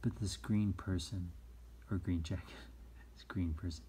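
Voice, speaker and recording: A man's voice: low-pitched, fairly even intonation, unhurried, dark tone, a little hiss or hum. A man hosting a children's read-aloud, informally reading a picture book with asides and explaining things simply for young listeners.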